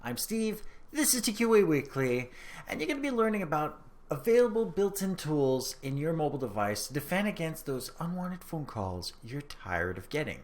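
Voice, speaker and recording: A man in his thirties hosting a tech tutorial, speaking to camera in deliberate, emphatic phrases. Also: a little high, very animated. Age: 30s. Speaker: host of a tech tips show. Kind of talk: scripted tutorial presentation to camera. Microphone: microphone on a boom arm, close in front.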